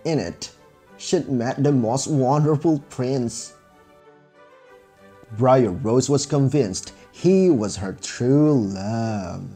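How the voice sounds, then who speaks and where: Slow, expressive male fairy tale narrator. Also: mid-range voice, slightly rough, soft background music. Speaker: storyteller.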